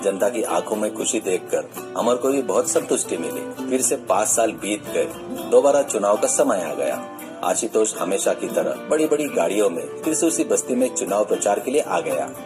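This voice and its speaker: A man's voice: on the low side, lively intonation, moderate pace. Male story narrator over music. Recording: music bed under the voice.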